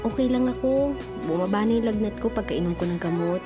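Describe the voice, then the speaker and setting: An actress playing a smitten, hopeful young woman in a Filipino radio drama, girlish and gushing with drawn-out words. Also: mid-range voice, natural voice. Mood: shy, grateful. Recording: music bed behind voice.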